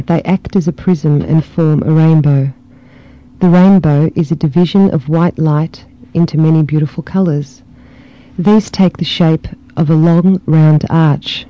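A man with a Melbourne Australian accent, reading a short passage and then answering interview questions in a relaxed way, with frequent ums and you-knows. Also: a little high, clean tone, no echo. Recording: dull lo-fi archive recording.

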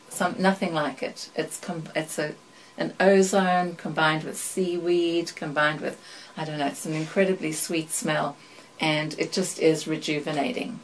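An older woman from South Africa with a South African accent tinged by British and American sounds, reading a passage and then recalling her life in a measured, even way with thoughtful pauses. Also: low voice, a little hiss or hum, poor audio. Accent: South African, mixed with British and American. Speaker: accent archive speaker.

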